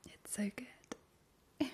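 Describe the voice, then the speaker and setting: A woman playing a playfully creepy, doting vampire in a breathy, cooing ASMR whisper. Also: warm tone. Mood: teasing, coaxing. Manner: slow, lingering, cooing. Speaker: ASMR performer. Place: small indoor kitchen.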